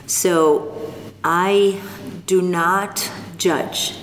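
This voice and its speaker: An older woman from North Africa, speaking as an interview guest, slow and thoughtful with frequent pauses. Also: fairly low pitch, steady tone. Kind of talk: reflective interview conversation. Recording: long reverb, faint background noise.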